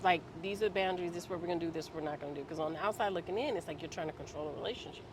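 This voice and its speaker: A host in a casual conversation, agreeable and quick to chime in with short affirmations. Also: mid-range voice. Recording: outdoor street noise.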